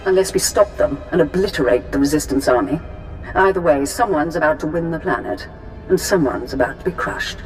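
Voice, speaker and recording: A woman's voice: deep voice, fairly quick, lightly acted, low-quality recording. Female Sith ruler for a game, played by an actress: commanding, cool, seductive, over background music. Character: commanding, seductive Sith lord ruling an occupied world. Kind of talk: dramatic video game acting.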